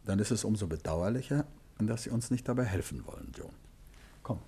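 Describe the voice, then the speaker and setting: Clever detective, husky voice, lively pitch, politely insistent, 1950s radio play. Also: male voice, a little fast, exaggerated voice. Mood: probing. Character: calm clever male detective, gently probing. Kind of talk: 1950s radio drama acting.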